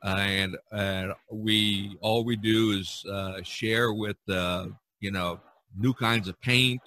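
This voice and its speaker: A man in his early sixties hosting a casual, rambling podcast chat, halting and often repeating words. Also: lowish voice, fairly even intonation, pushed and bright. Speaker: podcast host.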